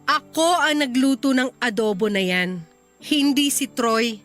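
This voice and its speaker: High-voiced, reserved young wife. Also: female voice, animated, measured pace, fairly bright tone, faint music behind. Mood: dry, curt. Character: reserved, mildly prickly young wife hosting guests. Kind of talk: radio drama acting.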